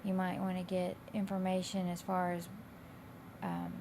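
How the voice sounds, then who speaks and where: A woman instructor with a slightly raspy, hoarse voice, explaining coursework in a casual, loosely phrased, conversational way. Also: on the low side, very animated, moderate pace. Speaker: college instructor. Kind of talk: informal explanation of course assignments. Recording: clear background noise.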